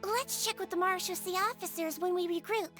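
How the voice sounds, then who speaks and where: A sweet lil nurse critter from a game, really high soft girly voice, very polite. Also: a little fast, faint music behind. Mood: polite, businesslike. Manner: gentle, courteous. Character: small, sweet, polite female nurse creature. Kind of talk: video game voice acting.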